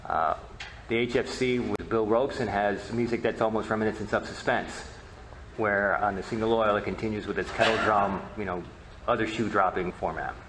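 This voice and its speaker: Male presenter, lowish voice, halting informal lecture delivery, recorded in an echoey room with faint noise. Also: fairly even intonation. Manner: halting, repeats and rephrases words. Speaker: presenter, media researcher.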